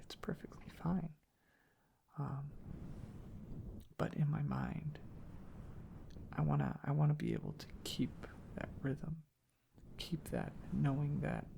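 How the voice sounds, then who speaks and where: A man softly rambling in an ASMR style, hushed and halting, drifting into whispers close to the mic. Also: fairly high pitch, gravelly. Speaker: ASMR video creator. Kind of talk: soft-spoken ASMR ramble. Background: tapping and clicking.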